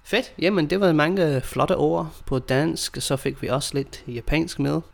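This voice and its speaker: Gravelly man hosting a chat. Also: on the high side. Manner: switches between English and Danish, explains words slowly. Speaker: podcast host teaching Danish. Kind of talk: casual language-learning chat.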